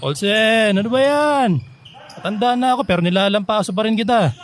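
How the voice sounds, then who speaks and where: An animated, competitive Filipino-drama dad. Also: male voice, high voice, a little fast. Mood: pushy, teasing. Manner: exhorting, teasing. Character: competitive, teasing, devoted middle-aged father coaching his son. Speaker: radio drama actor. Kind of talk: radio drama acting. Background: background music.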